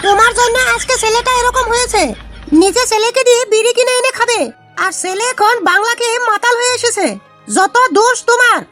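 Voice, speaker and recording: A woman's voice: extremely high pitch, steady tone, a little fast, a bit bright, faint music behind. A woman voicing an indignant cartoon mother and nagging wife who scolds and laments. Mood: furious lamenting. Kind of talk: amateur comedy cartoon dialogue.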